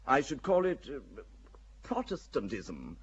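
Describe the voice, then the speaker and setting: An actor playing a proud, scheming English earl in a radio play, speaking haughtily with a husky voice. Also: male voice, medium pitch, huge pitch swings, slow pace, highs cut off, exaggerated voice. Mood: sardonic.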